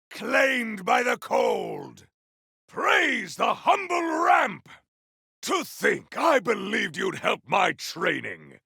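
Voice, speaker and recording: A man voicing a grandiose, battle-hungry warrior in a video game, with a rough, gravelly voice and bombastic, theatrical delivery. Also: very high voice, pushed and bright, dry recording, studio quality, exaggerated voice. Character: grandiose, battle-hungry male warrior with fire and ice powers. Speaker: playable game character. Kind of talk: video game combat barks.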